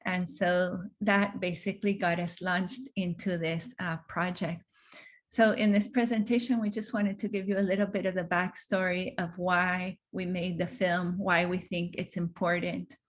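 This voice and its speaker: Middle-aged woman filmmaker from Venezuela on a video call, low-set and even in tone, soft with frequent ums. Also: moderate pace. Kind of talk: online presentation.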